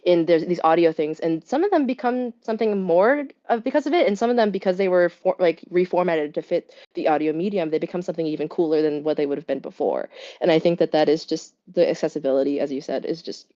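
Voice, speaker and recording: A woman voice actor in a casual interview, talking fast with lots of "like"s and restarts. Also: lowish voice, mellow. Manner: rapid, filler-heavy speech with many "like"s and restarts. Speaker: voice actor guest. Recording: muffled, lo-fi sound.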